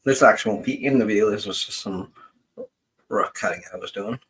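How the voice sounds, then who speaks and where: A middle-aged man hosting a casual livestream as a genealogist, rambling and thinking aloud in a halting way. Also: lowish voice, a bit bright, a little hiss or hum, rough recording.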